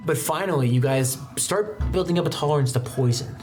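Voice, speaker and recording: A man's voice: on the high side, a little fast, a little mellow. A man working as game master, narrating an improvised tabletop game in a casual, theatrical way. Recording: music playing behind the voice. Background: background music.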